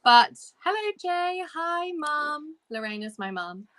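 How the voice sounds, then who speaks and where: A woman's voice: high voice, big pitch swings, slow pace, background noise. A woman hosting a casual book discussion on a livestream, talking in a chatty, informal way. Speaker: host of a book discussion live show.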